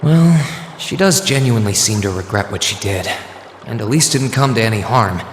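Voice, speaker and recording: An actor playing an earnest, kind teenage hero in an anime game dub, sincere and considerate in manner. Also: male voice, lowish voice, lively intonation, normal speed, slight background noise, close to an everyday voice. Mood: reflective.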